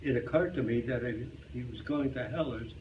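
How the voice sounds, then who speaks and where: A man's voice: medium pitch, normal speed. A man giving a scripted humorous reading of a narrated story in live performance. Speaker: reader at a reader's theater club. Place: club hall, live performance. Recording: muffled, noisy, distant live hall recording.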